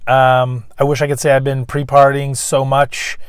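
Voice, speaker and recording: A man with a cold hosting a casual sports fan video, chatty with lots of fillers and throat clearing. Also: mid-range voice, completely flat delivery, moderate pace, no echo, studio quality. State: losing his voice, getting sick. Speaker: YouTube fan channel host. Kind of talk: casual sports preview vlog.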